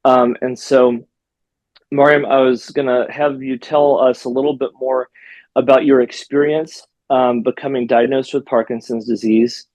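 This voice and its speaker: A man's voice: medium pitch, flat delivery, moderate pace, darkish tone, no echo, rough recording. A man hosting a health podcast interview in a casual, friendly way, with frequent ums and uhs. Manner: friendly, hesitant with fillers. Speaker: podcast host, physical therapist.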